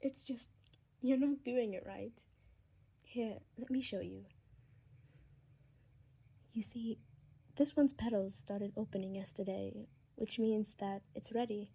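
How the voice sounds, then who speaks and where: A woman acting a gentle, sheltered young vampire daughter in an ASMR roleplay, speaking in a soft, earnest, hesitant way. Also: on the high side, fairly even intonation, slow pace, long pauses. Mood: soft, friendly. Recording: faint background noise with chirping crickets.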